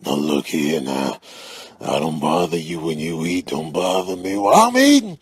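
A man's voice: a bass voice, very animated, normal speed, breathy edge, exaggerated voice. A man voicing an exasperated, mouthy pet animal in a comedic voice-over, with slangy, indignant trash talk. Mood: indignant warning.

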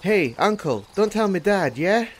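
A small, sheepish young stowaway from a fantasy audio drama, acted by a man. His voice is high and swings up and down as he hesitantly draws out his words. There is clear noise in the recording. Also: very animated, unhurried. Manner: hesitant, drawling out his account. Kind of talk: audio drama character acting.

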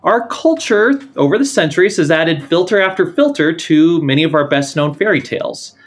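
Lively male interview host. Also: fairly high pitch, moderate pace. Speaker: podcast host. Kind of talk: scripted intro then casual interview.

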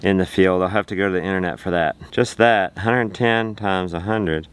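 A man's voice: low voice, moderate pace, clear, resonant tone. A man in his thirties narrating a casual homestead vlog to a handheld camera, upbeat and talking while he works outdoors. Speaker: homestead vlogger. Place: outdoors in woods and farm barn. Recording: outdoor noise behind the voice.

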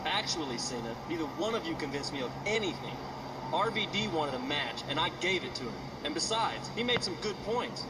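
A blunt probing wrestler. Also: male voice, fairly high pitch, very animated, brisk. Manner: questioning, blunt. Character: stern male wrestler investigator, probing. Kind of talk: video game cutscene dialogue. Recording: distant game audio, loud noise.

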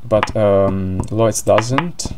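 Italian-accented man, lowish voice, halting game commentary, noisy recording. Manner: hesitant, many fillers and restarts. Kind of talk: live-streamed game commentary.

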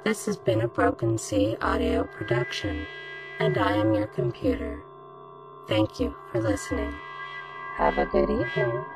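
A woman voicing a female computer that reads out credits in a formal, measured, stilted way. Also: mid-range voice, wildly swooping pitch, vocal fry, heavily acted. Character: female ship computer reading end credits. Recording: loud music under the voice.

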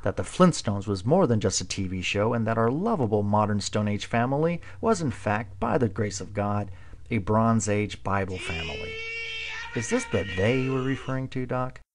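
A man's voice: on the low side, wildly swooping pitch, moderate pace, a little hiss or hum. A man narrating a video voice-over with dry, mocking sarcasm.

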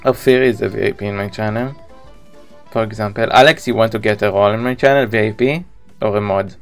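A man's voice: lowish voice, fairly even intonation, fast talker, faint music behind. A man in his thirties with an Israeli accent, a native Hebrew speaker, chatting casually with viewers as he live-streams a video game.

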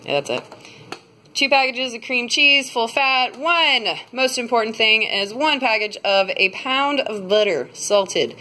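A woman's voice: on the high side, measured pace, sharp tone. A woman in her twenties chatting casually into her phone camera for a grocery haul vlog, rambling with lots of fillers. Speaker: vlogger. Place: parked car, then a home kitchen. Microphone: phone camera at arm's length.

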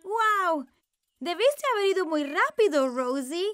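An actor voicing an excitable, eager four-year-old boy in a dubbed children's cartoon. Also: very high-pitched, takes it slowly, no room sound, clean studio sound, exaggerated voice. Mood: impressed, friendly. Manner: eager, exclaiming.

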